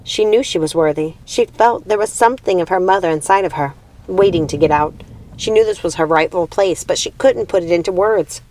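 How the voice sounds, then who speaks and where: A woman's voice: on the low side, brisk, darkish tone, low-quality recording. A woman reading a storybook aloud as an audiobook reader, in dramatic scripted narration.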